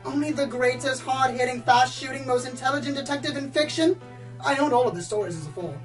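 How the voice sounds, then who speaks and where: A woman's voice: high voice, quick pace, distant mic, faint music behind, lightly acted, low-quality recording. A woman playing a pompous, dramatic male pony storyteller who loves noir detectives, florid and self-important, in a comedic radio play. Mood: indignant. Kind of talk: comedic radio play, hardboiled narration parody.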